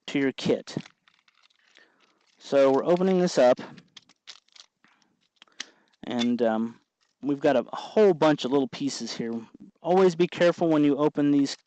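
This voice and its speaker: Halting man giving a hands-on tutorial. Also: mid-range voice, darkish tone, highs cut off. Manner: halting, informal, thinking aloud as he explains. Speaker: host of an electronics tutorial show. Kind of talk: unscripted hands-on tutorial narration.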